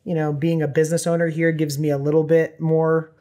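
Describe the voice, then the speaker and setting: A man in his thirties from Southern California, a company founder talking as a podcast guest, animated and full of "you know" fillers. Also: fairly high pitch, fairly quick. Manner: animated, gestures a lot, many fillers like "you know" and "right". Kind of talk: casual interview talk. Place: small podcast studio with bookshelves. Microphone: desk microphone on boom arm.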